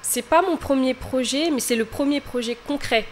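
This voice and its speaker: A young woman from France, a singer, answering interview questions in a hesitant, soft-spoken way that often trails off. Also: high voice, normal speed, slight background noise.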